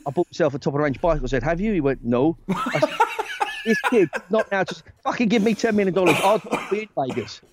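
A man, a talent agent and guest on a podcast, telling stories in a loose, animated way with lots of "right?" tag questions. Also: high voice, fast talker, background noise. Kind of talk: casual storytelling chat. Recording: dry, dull lo-fi sound.

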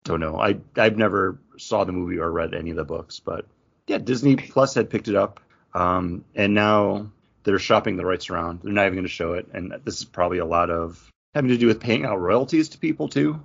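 A man's voice: deep voice, fairly quick, gravelly, slightly rough, lo-fi sound. A man co-hosting a podcast, chatting casually about geek news. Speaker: podcast co-host.

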